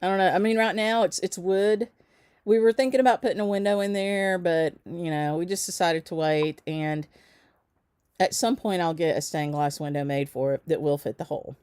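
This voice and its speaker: Woman host, lower voice, dry close mic; easy, rambling chat with viewers, lots of ums. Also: a little mellow, smooth, dry recording. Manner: chatty, greets viewers by name, frequent ums and laughs. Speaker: shop owner hosting a livestream. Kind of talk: casual livestream chat.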